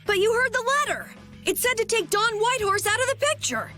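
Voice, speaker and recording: Excitable anime game kid: tiny high voice, sharp and bright, boyish, exclamatory. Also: female voice, brisk, full character voice. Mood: worried. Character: excitable, eager young boy, easily awed. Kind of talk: anime video game voice acting. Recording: background music behind the voice.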